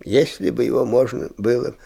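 Husky eccentric old professor. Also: male voice, medium pitch, mellow. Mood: wistful explaining. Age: older. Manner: muttering to himself, halting, repetitive, swinging into exclamations. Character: eccentric agitated old schoolteacher-inventor, self-pitying and dreamy. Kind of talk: theatrical radio play acting.

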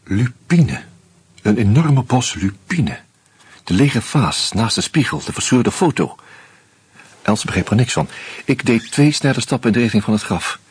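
A man narrating a radio drama in the first person, measured and reflective. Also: mid-range voice, sing-song, slightly rough, no echo.

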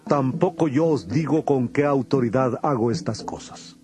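An actor playing a solemn, firm male teacher with authority, speaking in a measured, declamatory way in a dramatized scripture recording. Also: medium pitch, crowd noise, natural voice. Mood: firm, admonishing.

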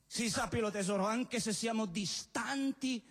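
Male stage poet, extremely high pitch, theatrical and insistent, emphatic declamatory delivery. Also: unhurried, fairly bright tone. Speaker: performance poet. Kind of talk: theatrical spoken-word poetry performance on stage. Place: live on stage at a cultural venue event.

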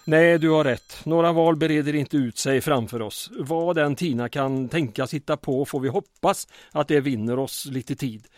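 An actor playing a small-town mayor under occupation in an audio drama, earnest but wry and weary. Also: male voice, on the high side, hardly any pauses, no echo, lightly acted.

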